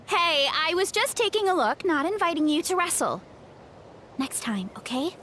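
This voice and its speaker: High-voiced, sweet, modest young athlete. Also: female voice, lively intonation, measured pace, a bit bright, slight background noise, full character voice. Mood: affectionate. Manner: earnest, polite, bashful. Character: sweet, modest young female athlete, eager but self-doubting. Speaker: voice actor in a game. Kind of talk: scripted game dialogue.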